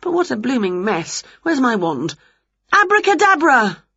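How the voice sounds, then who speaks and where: A woman voicing an excitable, bossy, scatterbrained witch in a children's story, full of exclamations and mock swearing. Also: high voice, unhurried, husky, dry recording, highs cut off, pitched above the performer's own voice, exaggerated voice. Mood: exasperated.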